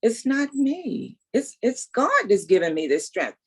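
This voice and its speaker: A woman's voice: on the high side, big pitch swings, measured pace, husky. A woman in her sixties, a guest telling her story in an emphatic, faith-filled way. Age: 60s. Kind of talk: casual interview, testimony.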